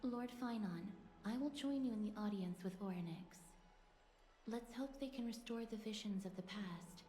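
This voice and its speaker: A woman voicing a solemn, courteous young noblewoman in a fantasy video game, speaking gently and formally. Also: mid-range voice, moderate pace, close to an everyday voice. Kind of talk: English video game dub acting. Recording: echoing game audio under music.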